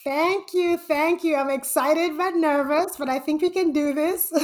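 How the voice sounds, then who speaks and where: Upbeat woman from Zambia, higher voice. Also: a little slow. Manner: animated, laughs often while telling a story. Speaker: podcast guest, full-time stock trader. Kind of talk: casual interview storytelling.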